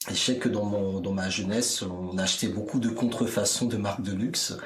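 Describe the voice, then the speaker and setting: A low-voiced man from Benin speaks in a measured, reflective way, pausing on fillers. The room around him has a noticeable echo. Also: fairly even intonation. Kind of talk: casual discussion. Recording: roomy echo.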